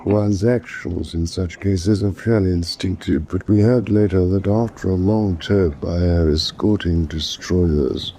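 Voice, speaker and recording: An actor playing a vain, boastful British naval commander in a radio drama, with a pompous way of talking. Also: male voice, sits low, unhurried, hardly any pauses, mellow, a little hiss or hum. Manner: pompous, self-congratulatory.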